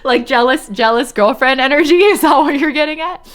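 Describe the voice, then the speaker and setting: A woman livestreamer giving playful, excitable, casual commentary on a game and chatting with her viewers. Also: sits high, fairly quick. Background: game music.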